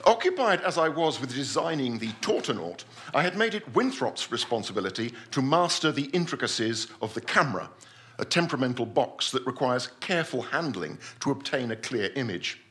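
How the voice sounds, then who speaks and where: A man playing a pompous, self-assured gentleman scientist telling his adventure in a theatrical story reading. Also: medium pitch, very animated, brisk. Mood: self-assured, enthusiastic. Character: pompous, obsessive Victorian-style gentleman scientist recounting his deep-sea adventure.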